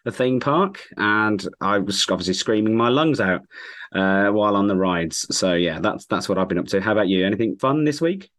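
Male podcast host, low voice a bit croaky, friendly casual chat. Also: lively intonation, normal speed, fairly bright tone.

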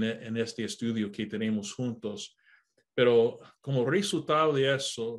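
Slow, halting pastor teaching a study. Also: male voice, mid-range voice. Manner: unhurried, with frequent "ah" fillers and self-corrections. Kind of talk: online Bible study lesson. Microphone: video call.

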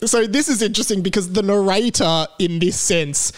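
A man's voice: sits high, normal speed. A man hosting a casual comedy podcast, explaining things in a mock-earnest way. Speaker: podcast host.

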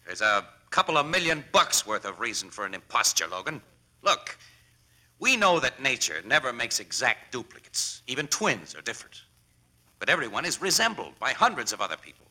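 A shrewd American newsman sleuth in a radio play. He talks fast in a very sharp, forceful voice, pressing his points with lively swings of pitch. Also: full character voice. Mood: urgent, insistent. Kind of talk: old-time radio drama acting.

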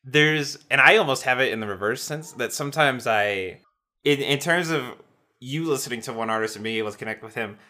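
A man's voice: mid-range voice, brisk, pushed and bright. A man co-hosting a podcast, speaking hesitantly and searching for words. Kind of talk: casual podcast chat.

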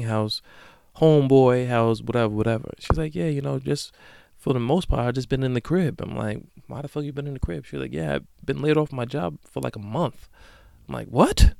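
A man in his late twenties hosting a solo podcast, talking casually and profanely with sudden loud bursts. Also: medium pitch, vocal fry, no room sound, clean studio sound. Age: late 20s. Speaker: podcast host.

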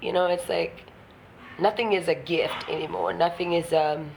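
A woman's voice: on the low side, fairly even intonation, moderate pace, creaky, darkish tone, slight background noise, low-quality recording. A woman from Ghana talking frankly and reflectively in an interview, laughing often and swearing casually. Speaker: guest artist.

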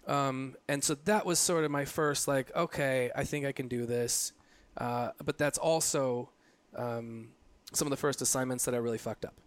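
A man's voice: medium pitch, steady tone, normal speed, few pauses, dry recording. Reflective man in his late thirties. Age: 30s. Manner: reflective, rambling with frequent fillers and restarts. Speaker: guest, photographer. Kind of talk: casual interview conversation.

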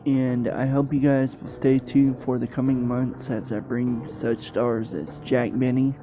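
A man hosting a podcast, reading an outro in halting, broken phrases. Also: medium pitch, little pitch movement. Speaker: podcast host. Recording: dull, muffled podcast recording. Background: faint guitar music.

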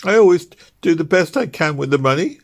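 A man's voice: on the low side, normal speed, darkish tone, voice pushed up, close to an everyday voice. A man voicing a weary, poor young wife who speaks in a plaintive, sobbing way. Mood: tearful. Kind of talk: audiobook character reading.

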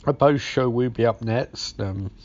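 A podcast host with a hoarse, gravelly voice rambles through a movie recap, swearing freely. His pitch sits mid-range for a man. The recording is dull and lo-fi. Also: a little slow, a little mellow. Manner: sweary, rambling, acts out scenes. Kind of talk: casual profane movie recap.

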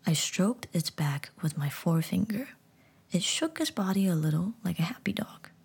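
A woman narrating a children's story in a soft, whispery voice. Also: on the low side, animated, creaky, husky. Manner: soft, whispery, slow reading.

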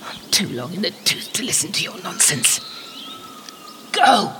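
An actress playing an old, devout, lonely but feisty medieval anchoress in an audio drama, creaky-voiced and muttering to herself. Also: female voice, on the low side, sing-song, a little slow, heavy background noise. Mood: fierce, defiant. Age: older. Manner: talking to herself, muttering and exclaiming.

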